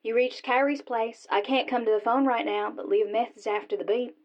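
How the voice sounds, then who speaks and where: A woman's voice: on the high side, fairly even intonation, brisk, close to an everyday voice. An actress playing a woman's recorded answering machine greeting in a radio drama, muffled and very noisy. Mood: neutral.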